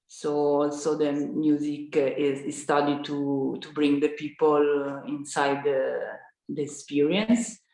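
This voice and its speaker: A woman from Italy with an Italian accent, a film director speaking on a panel, pausing often to search for words in English. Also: sits low, flat delivery, a little mellow, echoey room, rough recording. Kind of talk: panel discussion.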